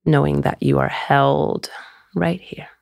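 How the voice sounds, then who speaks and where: A woman guiding a meditation in a soothing, gentle, unhurried way, often trailing into a breathy near-whisper. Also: low-pitched, fairly even intonation, dry recording, clean studio sound. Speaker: meditation guide. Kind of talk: guided meditation.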